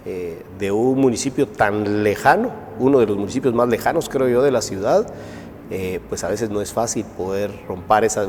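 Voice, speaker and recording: A man with a Guatemalan Spanish accent hosting a talk show interview, asking questions in a measured, thoughtful way with frequent fillers. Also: on the low side, darkish tone, big-room sound, a little hiss or hum.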